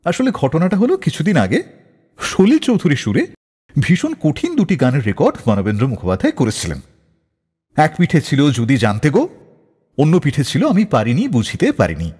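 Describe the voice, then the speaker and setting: A male radio host narrates scripted stories with hugely animated, swooping intonation. His voice sits on the high side and has a slightly husky edge. The delivery is theatrical and expressive, drenched in long reverb. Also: moderate pace.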